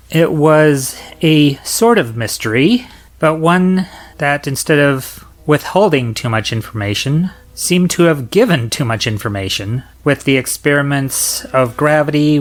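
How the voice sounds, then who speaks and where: A man giving a prepared spoken review in a measured, articulate way, as a podcaster sending in feedback. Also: mid-range voice.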